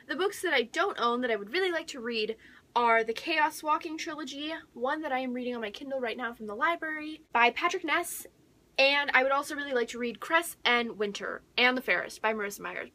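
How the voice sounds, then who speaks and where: A young woman chatting casually as a book vlogger, excitable and gushing, with dramatic emphasis and whispery asides. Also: high-pitched, brisk.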